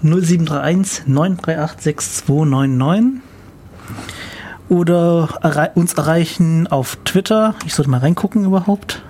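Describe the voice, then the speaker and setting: A man's voice: a little high, unhurried, a little mellow, a little hiss or hum. Curious man co-hosting a radio chat. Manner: curious, asks follow-up questions. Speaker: radio show co-host. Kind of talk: casual live radio chat. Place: radio studio.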